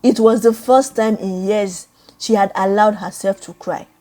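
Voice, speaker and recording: A woman narrating a dramatic story in a measured, solemn way. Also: mid-range voice, steady tone, mellow. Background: background music.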